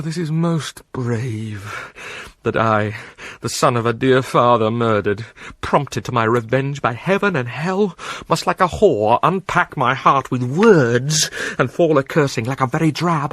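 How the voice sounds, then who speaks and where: An actor with a British accent playing an anguished, self-loathing young prince in a theatrical Shakespearean soliloquy, breathy and brooding. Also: male voice, mid-range voice, no room sound. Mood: scornful self-mockery. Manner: brooding, swinging from hushed musing to ranting.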